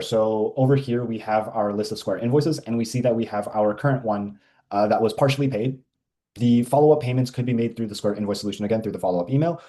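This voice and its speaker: Guy doing a casual software demo, low mellow voice, explains it step by step. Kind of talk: informal walkthrough of a software screen.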